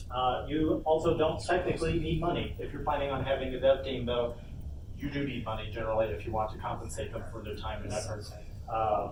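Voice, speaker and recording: A man presents a workshop in an informal, explanatory style. His medium-pitched voice is picked up from a distance in a roomy, echoing convention space. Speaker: workshop presenter, game developer. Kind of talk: informal instructional talk to an audience. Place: indoor convention panel room. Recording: roomy echo, distant mic, noisy convention room.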